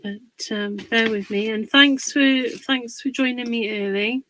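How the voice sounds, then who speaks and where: Lady a bit husky w a cold, slow chatty craft livestream, greets viewers, slightly high voice. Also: fairly even intonation, fairly bright tone, a little hiss or hum, rough recording. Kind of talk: casual live craft demonstration.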